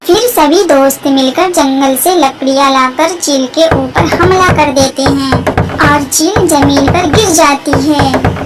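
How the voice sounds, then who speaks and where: A woman's voice: sits high, poor audio. A woman reading scripted narration for a children's cartoon story.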